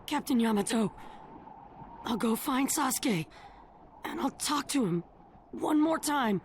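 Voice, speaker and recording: A woman voicing a stubborn, earnest teenage ninja boy in an anime game, with a raspy, boyish voice. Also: high-pitched. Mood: determined. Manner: earnest, determined, emphatic. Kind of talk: English anime game voice acting. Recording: music behind the voice.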